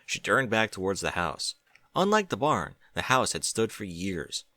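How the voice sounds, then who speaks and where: Animated, bright-voiced male story reader. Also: low-pitched, moderate pace, no room sound. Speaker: fan fiction reader. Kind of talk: reading a story aloud.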